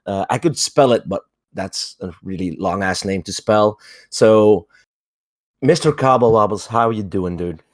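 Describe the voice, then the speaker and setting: A man hosting a podcast who interviews a guest in a slow, friendly manner, lavish with praise. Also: fairly low pitch, no room sound. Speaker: podcast host.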